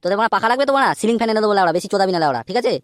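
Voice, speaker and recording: A man's voice: high voice, very fast, a bit bright, smooth, no echo, studio quality. A man playing a brash, foul-mouthed, boastful young slacker in a comedy cartoon. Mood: defiant, boastful. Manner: crude, swearing, blustering.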